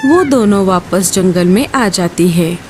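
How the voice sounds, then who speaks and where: A woman narrating a children's cartoon story in an expressive, singsong way and speaking to young viewers. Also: fairly low pitch, a little slow, background noise.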